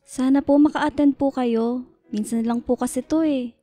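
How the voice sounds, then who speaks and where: A timid schoolgirl played by a woman in a radio drama, with a high, soft-edged voice. She is polite, hesitant and hopeful as she pleads with her mother, over a light music bed. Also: steady tone, normal speed, no room sound, lightly acted. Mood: shyly hopeful.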